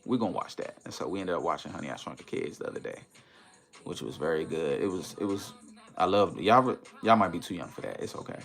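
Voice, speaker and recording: Low-voiced American man chatting on a livestream. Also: quick pace, gravelly, a bit bright, soft background music. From United States, Maryland and Washington DC area. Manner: conversational, uses slang and tag phrases like "you know". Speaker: livestream host chatting with viewers. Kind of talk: casual livestream chat.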